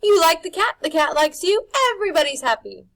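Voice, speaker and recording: A woman's voice: very high voice, animated, a little fast, fairly bright tone, voice pushed up, full character voice. A woman putting on a sly, oily Rictus. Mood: wheedling. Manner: sly, wheedling charm over spite. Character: grinning, oily, menacing male house-keeper.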